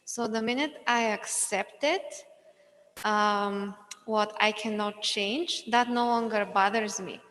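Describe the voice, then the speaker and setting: A woman from Bulgaria, an audience member sharing a personal experience hesitantly, with pauses and restarts. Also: mid-range voice, a bit bright. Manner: hesitant, many fillers and restarts. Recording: long reverb in a hall.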